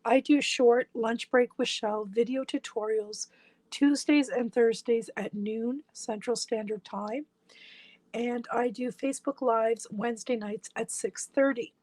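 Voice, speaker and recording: Hoarse lady doing a slow chatty craft demo at her table, voice going husky. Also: on the high side, fairly even intonation, a little mellow, no room sound, low-quality recording. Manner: chatty, talks to viewers while working with her hands. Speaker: independent stamping demonstrator. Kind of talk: step-by-step craft tutorial.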